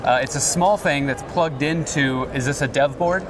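A man hosting a casual tech interview, asking upbeat questions and giving quick affirmations. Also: fairly high pitch, noisy background. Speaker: host. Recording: distant mic with music behind.

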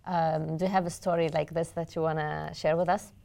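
A woman's voice: sits low, level tone, brisk, gravelly, dark tone. A woman interviewing a guest, asking questions in an encouraging, somewhat hesitant way with ums and restarts.

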